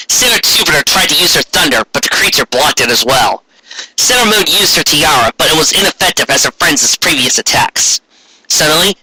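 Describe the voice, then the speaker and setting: Bright, animated male story narrator. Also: a little high, husky, poor audio. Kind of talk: dramatic comic reading of fan fiction.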